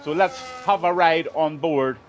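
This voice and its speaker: A man's voice: on the high side, unhurried, background noise. A middle-aged man commentating a sim racing league on a live stream, chatty and friendly, his voice a little strained. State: slightly strained voice.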